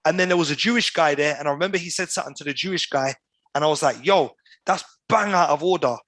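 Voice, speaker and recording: Animated man telling stories. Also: fairly high pitch, fast talker, no room sound. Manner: animated storyteller, uses slang like "bro" and "you get me". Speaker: podcast guest. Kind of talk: casual storytelling over video call. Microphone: video call.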